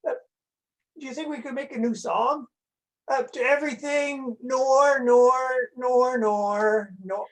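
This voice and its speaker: An eager little dragon played by a woman with a slightly high voice. The character is childlike, playful and easily sidetracked, chattering through a church puppet skit with hesitant, excitable turns. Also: a little fast, long pauses, close to an everyday voice, poor audio. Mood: flustered, hesitant. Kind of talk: scripted children's church dialogue.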